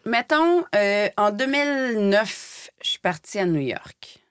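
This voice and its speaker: A woman's voice: medium pitch, big pitch swings, slow pace, smooth, no room sound, clean studio sound. A woman with a Shawinigan Quebec French accent telling her story in candid casual chat, frank and colloquial, often searching for words. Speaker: podcast guest, former model and designer.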